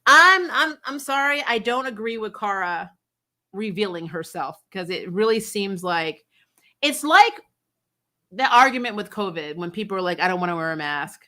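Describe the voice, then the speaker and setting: A woman's voice: mid-range voice, lively intonation, normal speed, pushed and bright. A woman hosting a recap show, giving casual, opinionated fan commentary in a blunt, conversational way.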